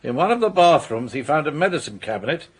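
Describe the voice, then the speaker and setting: A man playing an authoritative senior police officer in a radio detective drama, formal and measured. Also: on the high side, sing-song, husky.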